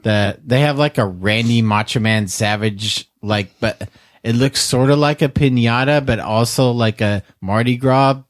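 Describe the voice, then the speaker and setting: A man's voice: medium pitch, unhurried. A man co-hosting a casual podcast, joking, laughing often and swearing freely. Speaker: podcast co-host. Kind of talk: casual chat.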